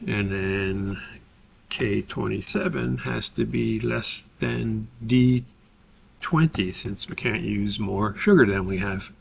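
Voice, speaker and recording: A man giving a methodical, step-by-step software tutorial as an instructor, explaining in a somewhat hesitant way. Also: fairly low pitch, takes it slowly, gravelly, slight background noise.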